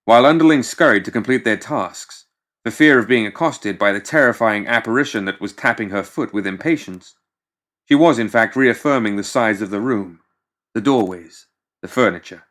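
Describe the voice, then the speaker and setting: Low-pitched man narrating fiction. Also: normal speed, a bit bright. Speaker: audiobook narrator.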